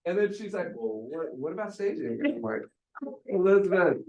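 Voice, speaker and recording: A man's voice: sits high, very animated, normal speed, darkish tone, highs cut off. A man giving a coaching talk to a group, speaking bluntly and emphatically and repeating phrases for emphasis. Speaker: real estate sales coach. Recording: echoey room.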